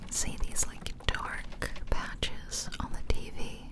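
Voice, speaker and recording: A woman whispering softly and breathily into a close microphone, chatting casually in a rambling ASMR style. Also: slow. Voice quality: soft, breathy unvoiced whisper. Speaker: ASMR video creator. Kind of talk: ASMR whispered casual chat over gameplay. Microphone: close ear-to-ear microphone.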